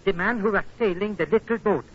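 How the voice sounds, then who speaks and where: An actor playing an earnest, grateful tanker captain, emphatic and dramatic in 1940s radio style. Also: male voice, high-pitched, fast, husky. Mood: earnest wonder. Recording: muffled, noisy vintage radio recording.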